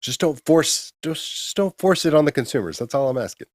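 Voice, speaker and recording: A man's voice: on the high side, lively intonation, brisk, no room sound, clean studio sound. A man co-hosting a casual gaming news discussion, talking in a colloquial, opinionated way. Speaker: co-host of a gaming news show.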